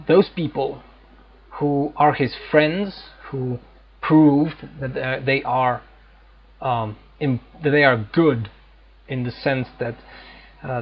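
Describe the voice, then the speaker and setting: A man giving casual, unscripted gameplay commentary, explaining things haltingly as he searches for words. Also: mid-range voice, slightly rough. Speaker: gamer hosting a playthrough. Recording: dull lo-fi mic, faint noise.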